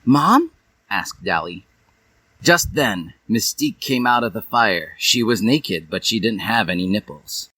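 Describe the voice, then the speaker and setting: A man's voice: fairly low pitch, expressive, measured pace, pushed and bright, no echo. A man narrating fan fiction as a comic dramatic reading, with a theatrical, mock-serious delivery.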